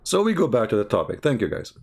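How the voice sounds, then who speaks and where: A man hosting a live stream and chatting to his viewers. He talks in a slow, halting way, often repeating himself as he goes. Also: fairly low pitch, huge pitch swings, vocal fry, darkish tone, husky. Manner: slow, halting, repeats phrases and fusses with his mic settings. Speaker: livestream host. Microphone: desk microphone at a computer.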